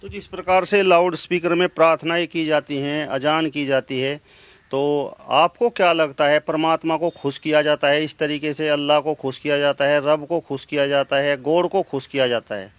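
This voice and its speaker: A man's voice: on the high side, steady tone, normal speed, clean tone, dry recording. A man working as an interviewer, putting questions to a guest.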